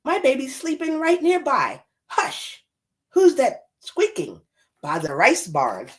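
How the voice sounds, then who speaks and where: Anxious young-child's mama for a picture book, woman reader: pleading, hushing, sing-song, swooping intonation. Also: high-pitched, huge pitch swings, takes it slowly, pitched above the performer's own voice, heavily acted. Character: anxious mother hushing animals to protect her sleeping baby.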